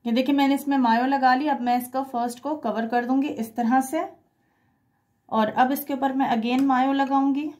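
A woman's voice: high voice, fairly even intonation, normal speed, darkish tone. A woman presents a home recipe step by step, conversational and instructional, mixing in English words. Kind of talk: step-by-step cooking tutorial voice-over.

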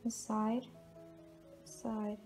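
A woman's voice: mid-range voice, level tone, drawn-out words, darkish tone, soft background music. A middle-aged woman giving a casual, chatty home tour to camera as a renovation vlogger. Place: large two-storey house hallway and stair landing. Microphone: camera mic a few metres away. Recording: echoey hallway, distant camera microphone.

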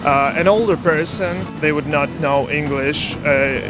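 A man interviewed on a city street, speaking in a measured way with pauses. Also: fairly high pitch, over music.

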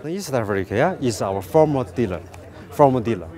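Mellow, halting Chinese-accented man. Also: medium pitch, animated. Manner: halting, repeats words and phrases. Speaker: company representative being interviewed. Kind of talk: unscripted press interview. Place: outdoors beside a parked truck.

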